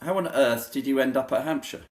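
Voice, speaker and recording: Male podcast host, conversational interviewer, mid-pitched voice, meandering and hesitant phrasing. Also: fairly quick.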